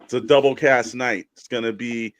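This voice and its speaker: A man's voice: mid-range voice, fairly even intonation, moderate pace. A man co-hosting a show, chatting casually and making announcements. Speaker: show co-host. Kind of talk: casual chat.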